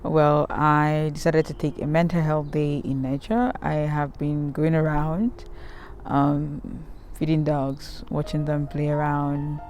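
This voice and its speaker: A woman's voice: low voice, a little mellow. A young woman from Cameroon, telling a candid, rambling storytime to her channel, filming herself outdoors by a river with a handheld camera. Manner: candid, rambling, many ums and restarts. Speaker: vlogger. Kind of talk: casual storytime monologue. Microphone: handheld camera at arm's length.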